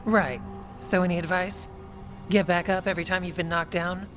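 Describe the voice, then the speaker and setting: An actress playing a frank, weary warrior officer in a video game, speaking in a plain, businesslike way. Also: female voice, lowish voice, fairly even intonation, normal speed, natural voice. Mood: uneasy. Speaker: Warden officer giving battle briefing. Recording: music behind the voice.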